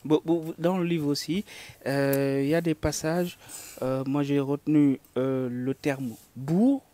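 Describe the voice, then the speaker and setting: A man hosting a television interview, his voice mellow and warm in tone. He builds his questions slowly, pausing on "euh" and repeating words as he finds his way. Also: on the high side, steady tone. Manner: hesitant, with many "euh" fillers and repeated words. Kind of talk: studio interview.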